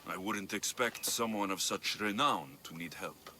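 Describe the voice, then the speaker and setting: An actor playing a confident, plain-spoken mercenary hero in a video game, steady and reassuring. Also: male voice, on the low side, a little slow. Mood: resolute. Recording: long hall reverb, faint noise.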